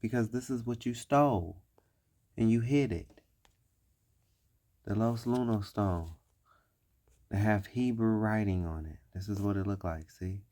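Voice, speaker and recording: Slow, dark-voiced man explaining. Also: fairly low pitch. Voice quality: deliberate, repeats points. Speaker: commentator. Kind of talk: explanatory commentary over images.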